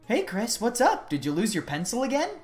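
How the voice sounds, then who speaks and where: A woman's voice: fairly high pitch, huge pitch swings, fairly quick, darkish tone, faint music behind, pitched above the performer's own voice. A woman voicing a friendly, timid teenage monster girl in a video game, polite and hesitant in manner.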